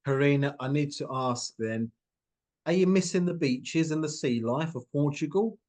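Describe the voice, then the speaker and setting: A man's voice: fairly high pitch, moderate pace, darkish tone. Upbeat man hosting a chat. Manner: upbeat, rambling questions with restarts. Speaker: host. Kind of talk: casual interview chat.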